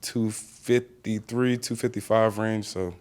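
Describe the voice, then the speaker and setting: A young American man with a lowish voice answers reporters' questions at a press conference. His talk is casual and plainspoken, full of small fillers as he works out what to say. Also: steady tone, slow pace, darkish tone. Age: young adult. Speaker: college football player.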